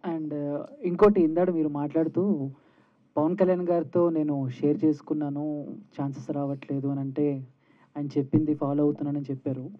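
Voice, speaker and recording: A male reporter with an Indian accent puts questions at a press event. His voice sits a bit high, and the sound is muffled and narrow-band. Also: steady tone, moderate pace.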